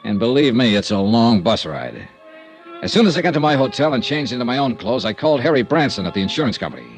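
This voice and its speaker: An actor in a 1950s radio drama playing a wisecracking insurance investigator, narrating in a dry, hardboiled way. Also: male voice, mid-range voice, very animated, fast talker, faint music behind. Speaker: star of the radio serial.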